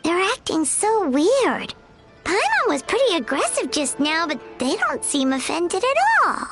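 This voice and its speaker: A woman voicing a tiny floating fairy girl, excitable and blunt, with a squeaky childlike voice and exclamations. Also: exaggerated voice. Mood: puzzled. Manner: talks about herself in third person, quick to exclaim. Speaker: game companion. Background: game background music.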